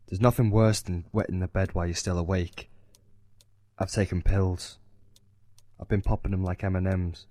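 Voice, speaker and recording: A man's voice: deep voice, warm tone, no echo, natural voice. An actor playing a guilt-ridden, sleepless young man in an audio horror drama.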